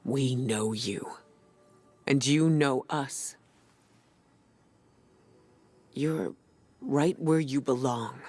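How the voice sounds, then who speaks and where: A woman voicing a solemn, steady commander in a video game, calm and deliberate, with a breathy, husky voice. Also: rumbling low, faint music behind, full character voice. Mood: firm, reassuring. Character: solemn, steady female commander offering reassurance.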